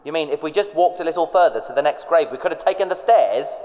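Man acting an excitable, boastful space explorer. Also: fairly high pitch, fast talker, natural voice. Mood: indignant. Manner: flustered, hesitant bluster. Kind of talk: sci-fi audio drama acting. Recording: reverberant cave effect with faint noise.

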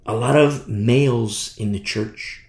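A man preaching a sermon in an emphatic, repetitive style, swinging from hushed to loud. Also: medium pitch, takes it slowly. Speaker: pastor. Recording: roomy echo.

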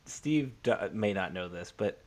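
A man co-hosting a sports podcast, chatting informally and haltingly, often repeating and restarting his phrases. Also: lowish voice, expressive, a little mellow. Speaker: podcast co-host. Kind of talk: casual sports podcast chat.

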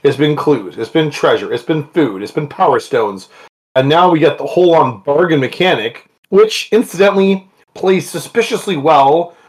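A man with a fairly high speaking voice hosts a casual podcast from home, talking with a co-host over the internet. He chats eagerly and breaks into laughs along the way. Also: expressive, normal speed. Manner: chatty, laughs often, enthusiastic.

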